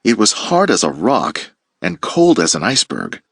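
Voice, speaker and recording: A man teacher reading a children's picture book aloud with playful, expressive storytelling. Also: lowish voice, normal speed, gravelly, breathy edge, no room sound, studio quality.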